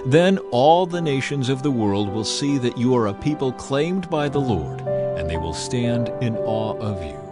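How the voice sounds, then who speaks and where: A man voicing a solemn, commanding prophet addressing his people in a dramatized scripture reading, declamatory and deliberate. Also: mid-range voice, big pitch swings. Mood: solemn, assuring. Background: music behind the voice.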